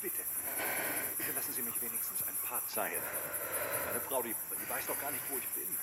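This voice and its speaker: An actor playing a frightened man who begs to be allowed to write to his wife, heard hushed from a distance. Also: mid-range voice, brisk, natural voice. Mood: pleading. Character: frightened man pleading with officials. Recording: noisy, from far off.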